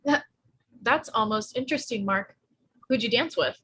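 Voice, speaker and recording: A woman's voice: fairly high pitch, animated, moderate pace, pushed and bright, breathy edge, exaggerated voice. A woman playing a blunt, slangy, sarcastic sixteen-year-old pregnant girl in a live screenplay read, earnest underneath. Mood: flippant, teasing. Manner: slangy, flippant, emphatic.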